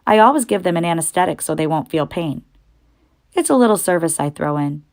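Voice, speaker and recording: A woman voicing a calm female spider in a storybook, speaking in a composed, brisk and firm manner. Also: on the low side. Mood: gentle.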